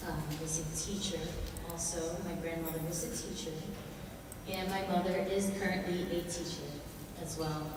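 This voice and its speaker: A middle-aged woman from Samoa giving an earnest prepared self-introduction as a pageant contestant, with soft "um" fillers. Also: on the low side. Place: large indoor hall. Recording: echoey hall from far off with noise.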